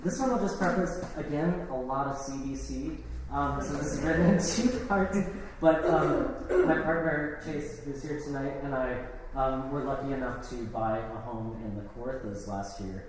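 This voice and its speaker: A man's voice: medium pitch, moderate pace. A man giving a live poetry reading of his own work, with casual introductions between pieces. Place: live event in an art gallery. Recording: echoey, noisy hall, distant microphone.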